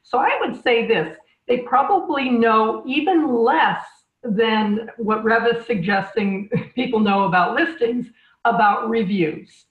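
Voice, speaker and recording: A woman's voice: a little high, slow pace. Echoey, muffled woman in an interview. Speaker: guest business publication executive. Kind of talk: casual interview answer. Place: echoey indoor room on a video call. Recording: echoey room, dull lo-fi call audio.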